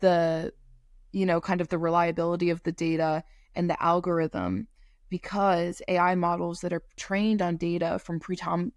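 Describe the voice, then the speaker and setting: A young woman co-hosting a podcast, talking casually and stopping often to restart her sentences. Her voice sits a little low. The recording is dry and close. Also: no room sound. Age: young adult. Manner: halting, many fillers and restarts. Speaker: podcast co-host. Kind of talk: casual conversational discussion.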